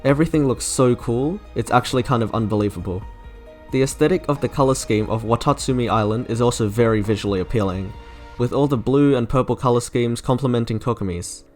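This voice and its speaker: A man's voice: mid-range voice, brisk. A young man reading scripted opinion commentary as a video essayist. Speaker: video essayist reviewing game trailers. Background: soft game music.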